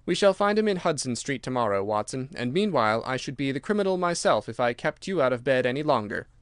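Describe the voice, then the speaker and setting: A man voicing a calm, precise detective in an audiobook, measured and assured. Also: medium pitch, smooth, dry recording, clean studio sound, lightly acted. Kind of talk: audiobook character reading.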